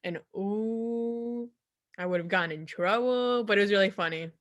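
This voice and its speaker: A woman's voice: mid-range voice, moderate pace. A young woman from Russia, chatting as a guest in a laid-back, rambling way, full of "like.". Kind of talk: casual chat.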